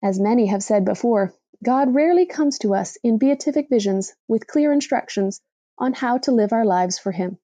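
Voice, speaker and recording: A woman with a fairly high, mellow voice reads a reflective blog essay aloud. Her delivery is measured and deliberate, clearly working from a script. The sound is dry and close, with very little room in it. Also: animated, dry recording. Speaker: reader of a blog post for a podcast.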